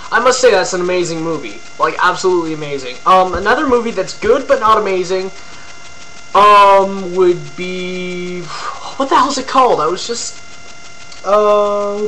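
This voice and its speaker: A man's voice: high voice, pushed and bright, slight background noise, poor audio. A young man from Ontario, rambling casually to his webcam with lots of fillers and crude jokes. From Ontario, Canada. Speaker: vlogger. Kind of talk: casual rambling video blog.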